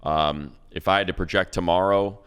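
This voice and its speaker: A man with a very deep, smooth, clear voice talks football in a loose, casual way. He restarts sentences and trips over his words as he thinks aloud, in a relaxed way. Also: moderate pace. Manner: rambling, many restarts and repeated words. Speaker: radio play-by-play announcer and podcast co-host. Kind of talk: casual sports chat.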